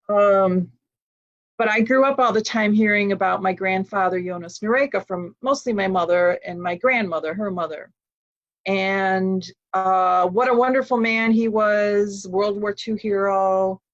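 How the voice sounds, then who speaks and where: An American woman telling a family story, her pace slow and unhurried. She often pauses on ums and uhs as she thinks. The sound is dull and lo-fi, as if from a video call. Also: medium pitch. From United States. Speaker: guest speaker. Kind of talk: personal storytelling talk.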